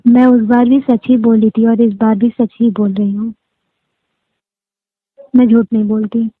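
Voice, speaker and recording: Female caller, fairly high voice, casual private chat over a narrow, tinny phone line. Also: little pitch movement, unhurried, dry recording. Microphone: phone call.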